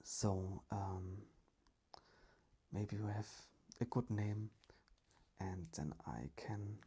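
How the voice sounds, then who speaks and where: A storyteller speaking in a breathy, whispery ASMR style, with deliberate, gentle delivery. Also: low voice.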